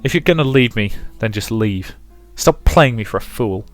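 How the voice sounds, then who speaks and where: A man voicing an angry, hurt young woman with a sharp, accusing manner in a visual novel, over music. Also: lowish voice, animated, normal speed, close to an everyday voice. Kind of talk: visual novel character reading.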